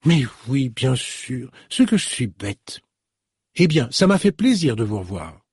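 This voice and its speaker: A man's voice: mid-range voice, big pitch swings, darkish tone, slightly rough, dry recording, clean studio sound, full character voice. A man voicing a polite, composed middle-aged woman in an audiobook, in a slightly raised voice. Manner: courteous, measured.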